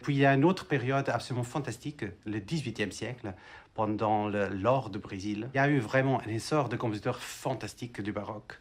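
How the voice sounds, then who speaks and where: Male expert interviewee, medium pitch, emphatic explanatory delivery. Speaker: musician interviewee, violist and composer.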